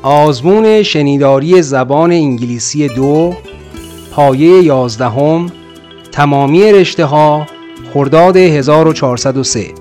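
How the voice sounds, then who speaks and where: A man's voice: fairly high pitch, very fast, a little mellow, faint music behind. A man reading formal exam instructions as the announcer of a listening test.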